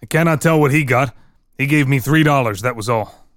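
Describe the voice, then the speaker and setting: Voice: medium pitch, fairly quick, no echo, natural voice. Someone acting a male murder suspect, german immigrant, short cagey answers, dramatic reading, really dry recording. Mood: guarded, flat. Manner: terse, guarded answers. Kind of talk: dramatic reading of an interrogation transcript.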